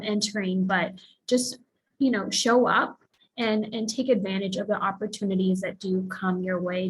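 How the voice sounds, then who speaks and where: A woman's voice: medium pitch, a bit bright, slight background noise, poor audio. A woman from the Philippines speaking earnestly as a panelist, sharing her own path with many fillers. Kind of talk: panel discussion, personal account.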